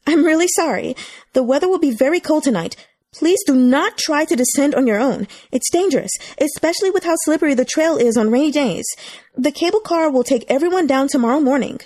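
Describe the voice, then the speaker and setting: A woman's voice: high voice, animated, fairly quick, a little mellow, no room sound, voice pushed up. A woman voicing an apologetic young female staff member in an audiobook, pleading and stammering, close to tears. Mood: apologetic, urgent. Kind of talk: audiobook character voice.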